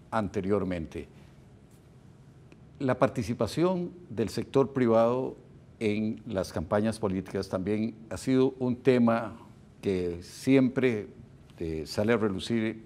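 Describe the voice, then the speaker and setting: Older Costa Rican man, dark low voice. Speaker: interviewer, host. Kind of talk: formal television interview. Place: television studio.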